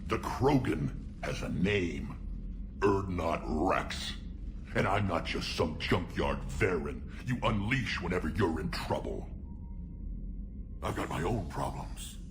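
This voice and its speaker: A man playing a proud, gruff alien warrior chief in a video game, blunt and defiant in his delivery. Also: low-pitched, animated, slow, music in the background, heavily acted.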